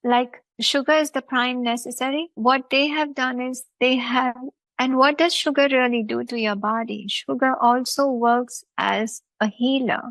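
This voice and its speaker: A woman's voice: high voice, steady tone, measured pace, fairly bright tone, no room sound. A woman with an Indian accent hosting a talk show, explaining at length in an emphatic way, with repeated phrases and lists. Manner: emphatic, repetitive listing. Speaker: host.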